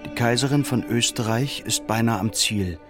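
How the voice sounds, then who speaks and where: A man narrating a literary radio drama with grave, measured, dramatic delivery. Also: medium pitch, over music.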